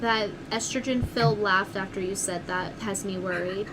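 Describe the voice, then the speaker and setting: A woman's voice: mid-range voice, steady tone, moderate pace, noisy background. A woman hosting a call-in show, teasing and mocking her callers bluntly. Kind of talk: mocking comedic phone interview.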